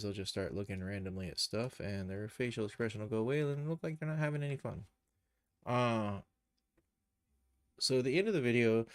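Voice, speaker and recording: A man giving a casual live software tutorial, thinking aloud and muttering to himself as he works. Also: fairly low pitch, clean tone, no echo, studio quality. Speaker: streamer, animation tutor.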